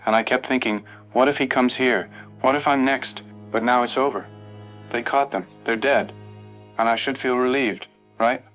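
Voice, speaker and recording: An actor playing a sleepless, anxious motel night clerk calling in to a radio show. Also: male voice, medium pitch, steady tone, normal speed, a bit bright, faint music behind, lightly acted. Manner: anxious, weary. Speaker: caller.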